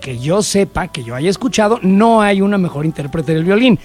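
A man with a Mexican Spanish accent and a high-pitched voice co-hosts a casual chat. He is effusive and teasing, speaking emphatically over faint background music. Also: lively intonation, moderate pace, a little mellow. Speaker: co-host. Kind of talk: casual, joking interview chat.

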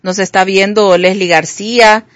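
A woman's voice: medium pitch, steady tone, a little slow, no echo. A woman with a Honduran Spanish accent co-hosting a radio show, reading listener messages and greetings in a friendly way. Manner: friendly, reads greetings and questions.

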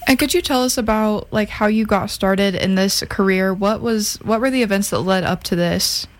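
A woman's voice: mid-range voice, moderate pace. Woman interviewer asking polite questions from a bit off mic.

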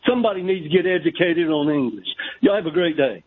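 A man's voice: a little high, lively intonation, quick pace, husky. Sarcastic man caller on a phone. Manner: sarcastic, lecturing. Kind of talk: call-in radio talk. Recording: phone line.

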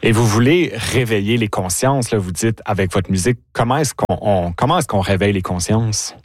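A man's voice: on the low side, normal speed, slight background noise. A man conducting a live radio interview as the interviewer.

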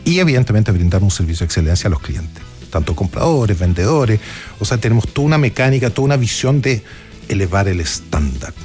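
Brief: male guest, low voice, rapid delivery, stumbling restarts, faint music underneath. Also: sing-song, a little mellow. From born in Chile, raised in Argentina. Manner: fast, repeats words while searching for phrasing. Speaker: real estate executive guest. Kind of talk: radio interview.

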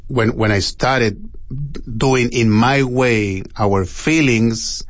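A man from Chile with a Chilean Spanish accent, speaking halting English conversationally as an interview guest. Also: medium pitch, dry recording. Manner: halting non-native English with repeated tags. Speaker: empanada shop owner, interview guest.

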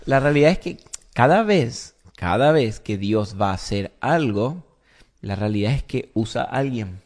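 Male podcast co-host and pastor, medium pitch, South American from Argentina and Paraguay, relaxed phrasing in casual faith talk. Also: normal speed. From Argentina, raised in Paraguay.

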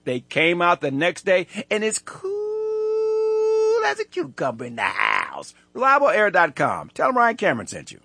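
A man reading an upbeat radio ad in an excited, salesy way. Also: sits high, a little slow, creaky, a little hiss or hum. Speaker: radio ad spokesman. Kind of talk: upbeat scripted ad read.

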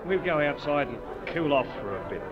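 An actor playing a shy, stammering young Australian farmer's son in an old radio serial. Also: male voice, fairly high pitch, animated, fairly quick, over music, close to an everyday voice. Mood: cheerful. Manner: bashful, stammering, laughing. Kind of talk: 1930s radio serial acting. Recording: dull old radio recording with noise.

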